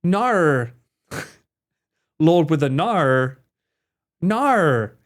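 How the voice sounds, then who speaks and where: Lively, theatrical man chatting on stream. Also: a little high, drawn-out words. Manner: joking, theatrical, swears freely, slips into mock voices. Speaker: streamer and cameraman. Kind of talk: casual live-stream chat with viewers.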